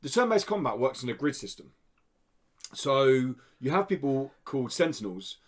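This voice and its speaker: A man's voice: mid-range voice, big pitch swings, moderate pace. A man co-hosting a podcast, giving an enthusiastic, casual spoken review in conversation. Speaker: podcast co-host reviewing indie games. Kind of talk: casual game review chat.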